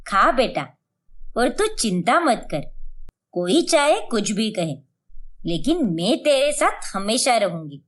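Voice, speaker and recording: A woman playing a doting, boastful middle-aged mother in an animated moral story, with a coaxing, effusive manner. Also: a little high, takes it slowly, exaggerated voice.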